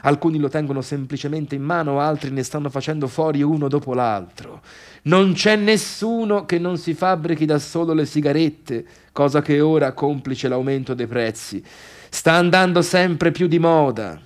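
A male actor plays a bitter, sarcastic man who failed as a pianist and now works as a critic. His voice sits fairly high, with a Tuscan accent. He complains in colloquial speech, in the manner of a radio theatre monologue. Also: moderate pace, close to an everyday voice. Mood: irritated, sarcastic. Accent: Tuscan Italian.

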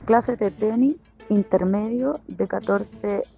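A woman reads scripted notices item by item. Her pitch is average for a woman. Soft music runs behind the voice. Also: slow, vocal fry. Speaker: announcer in a recorded report. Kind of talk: scripted schedule announcement. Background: faint music.

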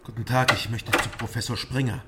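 An actor in a radio drama playing a dry, guarded East German investigator, speaking in an understated, matter-of-fact way. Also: male voice, mid-range voice, fairly even intonation, fairly quick, heavy background noise, natural voice. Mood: insistent. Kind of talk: radio drama first-person narration and dialogue. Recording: loud music behind the voice.

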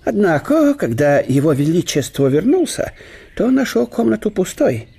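An actor playing a cheerful, obliging German professor who chuckles and chats in an ingratiating way, with a husky voice, in a radio drama. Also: male voice, high-pitched, big pitch swings, normal speed, dark tone, full character voice. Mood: confiding.

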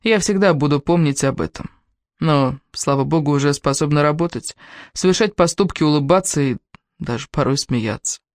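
A woman's voice: sits low, moderate pace, a little mellow, dry recording. Woman narrating an audiobook, dry sound. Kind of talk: scripted first-person novel narration.

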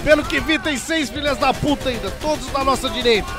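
Very squeaky, foul-mouthed action sidekick. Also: male voice, a little fast. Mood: exasperated. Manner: swearing, agitated. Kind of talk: comic audio drama acting. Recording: loud music behind.

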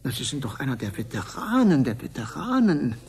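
An actor playing an inquisitive, impatient investigator in a science fiction radio play, halting and repeating his own words. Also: medium pitch, big pitch swings, a little mellow. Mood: probing, hesitant.

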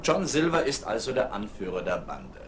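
A level-headed ship's doctor speaks calmly with a lively rise and fall. Steady record hiss sits beneath his voice. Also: lowish voice. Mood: earnest. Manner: calm, measured authority. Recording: hissy old vinyl radio play.

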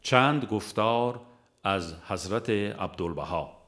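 A man with an Iranian Persian accent reads a religious audiobook in a measured, formal, literary manner. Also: lowish voice, lively intonation, over music. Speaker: audiobook narrator. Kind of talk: scripted narration of a religious book.

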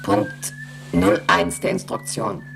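A woman playing an emotionless moon station computer in a radio play, reciting in a formal monotone. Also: rumbling low, takes it slowly, faint music behind, heavily acted. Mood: impassive. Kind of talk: German radio play acting.

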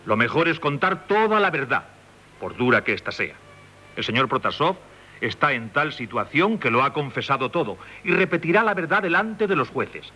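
Bright-voiced, insistent Spanish magistrate. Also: male voice, a little high, big pitch swings, normal speed, exaggerated voice. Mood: stern, official. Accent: Castilian Spanish. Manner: formal, probing, insinuating. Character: insistent, formally courteous examining magistrate, male. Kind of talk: 1970s Spanish radio theatre acting.

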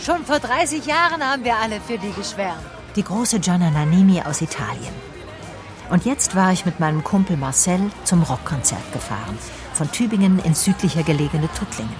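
An actress playing a curious, persistent private detective in a German radio crime drama. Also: female voice, lowish voice, lively intonation, moderate pace, music in the background, close to an everyday voice. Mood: lively. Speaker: narrator and lead actress. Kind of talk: German radio crime drama, first-person narration and dialogue.

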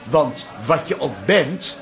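An actor playing an exasperated, theatrical talent-show judge in a radio drama, emphatic and dramatic. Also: male voice, a little high, big pitch swings, slow pace, over loud music.

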